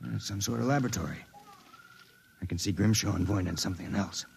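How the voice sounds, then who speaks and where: A man's voice: on the low side, fast talker, vocal fry, a little mellow, breathy edge, heavily acted. An actor playing a wry, terse, hard-boiled man in a 1950s radio drama. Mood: tense. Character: wisecracking, hard-boiled man snooping inside a sanitarium. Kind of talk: first-person narration and 1950s radio drama acting.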